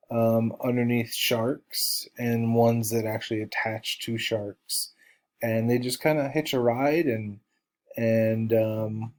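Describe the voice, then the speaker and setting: Stuffy-voiced male instructor, slow and measured, reads course slides with frequent pauses. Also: medium pitch, a little mellow. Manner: slow, halting, many ums and uhs. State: stuffy from allergies. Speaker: college biology instructor. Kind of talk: recorded lecture over slides.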